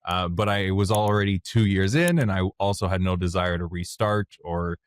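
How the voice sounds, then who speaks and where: Low-voiced man telling his story. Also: moderate pace, smooth. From Canada (Montreal). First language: French. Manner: candid, plainspoken, thinks aloud as he talks. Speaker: guest, software founder. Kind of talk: casual interview storytelling.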